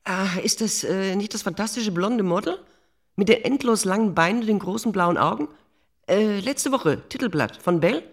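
A woman's voice: mid-range voice, animated, normal speed. An actress playing an imperious, cynical middle-aged female editor-in-chief in a German radio drama, bossy, dismissive and sarcastic. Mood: scheming.

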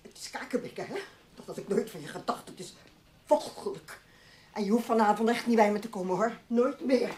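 A woman's voice: on the high side, fairly quick, a little mellow, a little hiss or hum, natural voice. An actress playing an easily startled, reproachful woman office colleague in a Dutch radio play, speaking emotionally. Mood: hurt, indignant.

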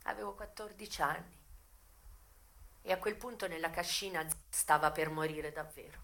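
A woman giving an expressive, theatrical first-person narration of a memoir, moving between hushed and emphatic delivery. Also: fairly low pitch, moderate pace, vocal fry.

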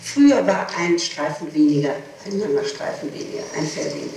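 A woman is being questioned, and she answers in an explanatory, insistent way, often repeating herself. Her voice has a medium pitch and sits far from the microphone in a very echoey room. It is an old tape with some hiss. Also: expressive, fairly quick, a little mellow. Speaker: person being questioned by a prosecutor. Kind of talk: answering questions in a formal interrogation. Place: reverberant room, interrogation recorded on tape. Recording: echoey archival tape, distant mic, hiss.

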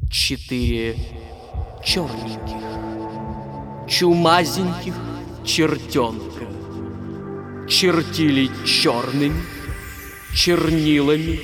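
Mocking children's-play villain: highish voice, unhurried drawl, gloating and mock-sweet, music underneath. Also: male voice. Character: gloating, mocking male villain of a dream land.

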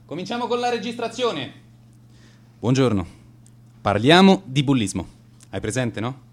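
A man's voice: mid-range voice, very animated, moderate pace, lightly acted. An actor playing an earnest, easily exasperated male interviewer in a naturalistic radio drama, insistent and often rephrasing his questions. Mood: polite, probing.

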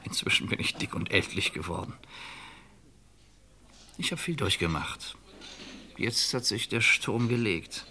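An actor playing a calm, resigned middle-aged fugitive in an old radio crime drama, measured and reflective. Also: male voice, fairly low pitch, gravelly, exaggerated voice. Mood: weary, philosophical.

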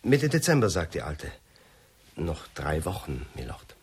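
An actor playing an obsequious, wily manservant in a radio play, with a theatrical, deferential manner. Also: male voice, very deep voice, wildly swooping pitch, measured pace, vocal fry, slightly rough, full character voice. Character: obsequious male servant, wily accomplice of a lord. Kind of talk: radio drama acting.

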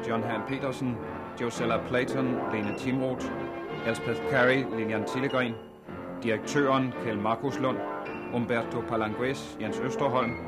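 A male radio announcer reading the credits in a crisp, formal way. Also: mid-range voice.

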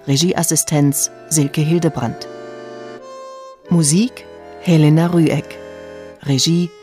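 A man's voice: fairly high pitch, fairly even intonation, slow, dark tone, soft background music. A man reading scripted radio play credits as an announcer.

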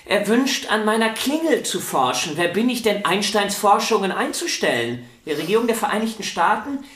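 An excitable, earnest musician, very animated. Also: male voice, sits high, normal speed, reverberant. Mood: insistent. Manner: emphatic, insistent. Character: proud, earnest, excitable musician devoted to his idol. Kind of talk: radio drama acting.

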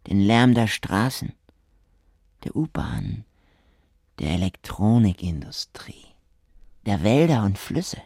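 Gravelly, slow, brooding man. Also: medium pitch, a little mellow, no room sound, studio quality, full character voice. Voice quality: gravelly, husky. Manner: slow, intimate direct address to listeners. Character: brooding man haunted by the noise of the world. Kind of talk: radio drama monologue.

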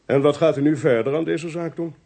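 An actor playing a brisk, self-assured forensic expert in a radio play, formal and commanding. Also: male voice, a little high, steady tone, creaky, dark tone. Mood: probing.

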